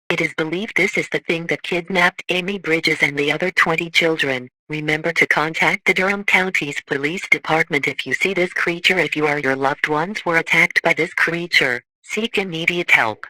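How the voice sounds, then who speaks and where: Casting: man acting as local news anchor, formal and composed, very bright forceful voice, dry close sound. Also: a little high, no echo, low-quality recording. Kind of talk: scripted local TV newscast.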